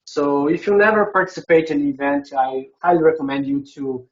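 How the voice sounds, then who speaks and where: A man's voice: fairly high pitch, a little fast, dull audio. A man from Brazil with a Brazilian Portuguese accent, a non-native English speaker, giving a conference presentation with many hesitant filler sounds.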